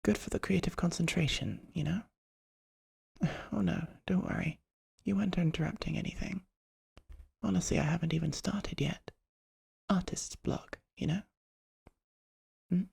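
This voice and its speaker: A performer voicing a shy, polite vampire artist in a soft-spoken, breathy ASMR roleplay, hesitant and gently formal, often close to a whisper. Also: medium pitch, normal speed, pauses often, heavily acted.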